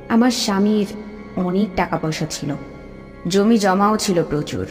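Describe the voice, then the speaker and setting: Horror cartoon ghost: actress as murdered young widow, sorrowful, pleading yet commanding, long reverb over music. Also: female voice, medium pitch, a little mellow, natural voice.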